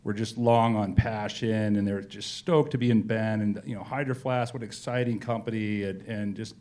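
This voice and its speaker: A man's voice: lowish voice, fairly even intonation, moderate pace, a little mellow. A man speaking as a company executive in a casual on-stage interview, telling colloquial stories with frequent asides.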